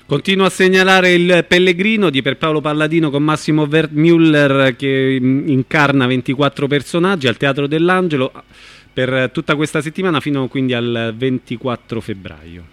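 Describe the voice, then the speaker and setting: A man's voice: a little high, moderate pace, fairly bright tone, smooth, no echo. A man hosting a casual radio chat with a co-presenter about what is coming up. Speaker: radio host.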